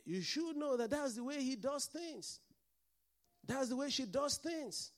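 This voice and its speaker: Pastor preaching, nigerian-scottish mix accent, high-ish voice with a gravelly edge, keeps asking the room for amens. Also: male voice, a little slow. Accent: mixed Nigerian and Scottish. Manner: animated preaching, calls for congregation responses. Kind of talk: sermon.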